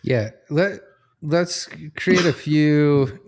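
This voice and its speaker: A man's voice: fairly high pitch, extremely slow, darkish tone. A man hosting a podcast in a casual, conversational style. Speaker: podcast host.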